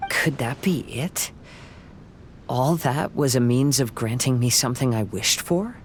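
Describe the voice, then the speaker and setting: A man plays a gentle young wanderer in a video game. He speaks reflectively and a little formally, in a mid-pitched voice. A faint rumble sits behind him. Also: a little slow, lightly acted. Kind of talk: English video game voice acting.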